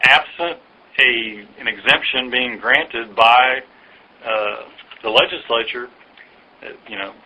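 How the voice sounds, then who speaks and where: A man explaining things patiently and haltingly in a phone conversation, like an official answering a caller's questions. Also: medium pitch, bright, forceful tone. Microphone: telephone. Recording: dull lo-fi recorded phone call.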